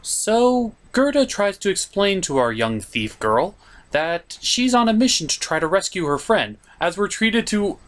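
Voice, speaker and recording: A man's voice: high voice, big pitch swings, measured pace, fairly bright tone. A man hosting a comedic cartoon review, recapping the plot in a sarcastic, mock-dramatic and profane manner. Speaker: cartoon reviewer, show host.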